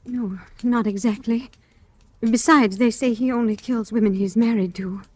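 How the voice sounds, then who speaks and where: A woman's voice: a little high, fairly even intonation, moderate pace, darkish tone, natural voice. An actress playing an earnest, devoted landlady, hesitant and pleading, in 1940s radio drama style. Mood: nervous probing. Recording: vintage 1940s radio recording.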